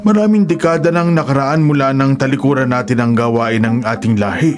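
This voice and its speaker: An actor playing a worried older man with a dark past in a radio horror drama, speaking gravely and hesitantly. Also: medium pitch, fairly quick, close to an everyday voice. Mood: shocked disbelief. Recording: dramatic music bed under the voice.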